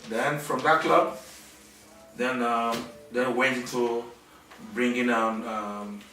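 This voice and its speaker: A middle-aged man from Nigeria, answering interview questions in a halting way with many fillers and restarts. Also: medium pitch, steady tone, sharp tone, a little hiss or hum. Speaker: interviewee, businessman. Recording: echoey room, mic at a distance.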